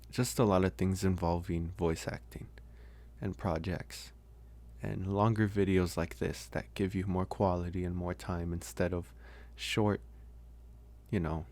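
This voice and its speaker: A man's voice: low voice, a little mellow. A man who voice acts, talking casually to his listeners as host in an out-of-character outro. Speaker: voice actor and channel host.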